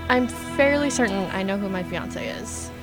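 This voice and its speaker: A woman's voice: medium pitch, lively intonation, normal speed, over loud music, lightly acted. A woman playing a suspicious, blunt young female client of a space crew in a comedic audio drama. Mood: matter-of-fact. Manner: blunt, matter-of-fact.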